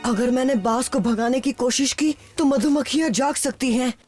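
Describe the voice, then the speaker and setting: A woman's voice: high-pitched, moderate pace, darkish tone, close to an everyday voice. A woman playing a brave, take-charge young jungle boy in a Hindi cartoon, confident and reassuring. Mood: planning, concerned. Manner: confident, reassuring, calling out instructions. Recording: music behind the voice.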